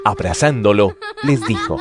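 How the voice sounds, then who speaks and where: A man's voice: lowish voice, expressive, normal speed, over music. A man narrating scripture for an audio drama in a scripted storytelling style.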